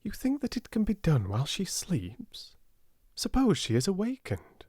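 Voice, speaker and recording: A man voicing a brisk, curious young male secretary in a storybook, an English gentleman. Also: high voice, huge pitch swings, slightly rough, no room sound, studio quality, pitched above the performer's own voice, exaggerated voice. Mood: curious surprise.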